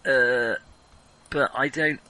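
A man's voice: medium pitch, fairly even intonation, slow, bright, forceful tone. A man co-hosting a film discussion podcast, speaking casually and opinionatedly, often stopping to rethink and correct himself. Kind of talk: casual film criticism chat.

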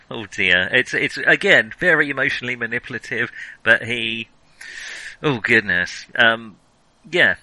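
A man co-hosting a casual film discussion, talking in a wry, animated, emphatic way. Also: medium pitch, dry recording, low-quality recording. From Europe. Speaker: podcast co-host.

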